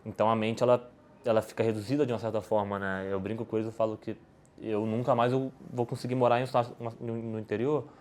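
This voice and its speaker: A man's voice: lowish voice, flat delivery, fast talker, darkish tone. A Brazilian man, a podcast guest, answering questions in casual talk, rambling with many restarts and tag questions. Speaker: podcast guest, poker player.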